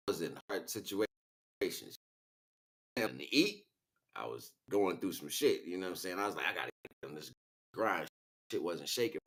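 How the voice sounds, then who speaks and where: A man's voice: low voice, fairly bright tone. A man livestreaming a casual, rambling spiritual monologue in street slang, often checking in with rhetorical questions. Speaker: livestream host.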